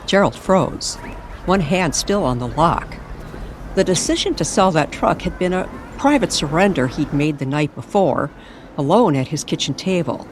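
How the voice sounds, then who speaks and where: A woman narrating an eerie, nostalgic story as a storyteller, in a measured and dramatic manner. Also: low-pitched, expressive, slight background noise. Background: music under the voice.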